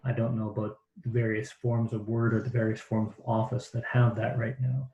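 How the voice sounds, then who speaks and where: A man's voice: mid-range voice, level tone, fairly quick, mellow. A man hosting an online training session, explaining things in a rambling, self-deprecating way over a video call. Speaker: host of a caller training session. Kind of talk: casual online meeting chat. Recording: echoey room, dull sound.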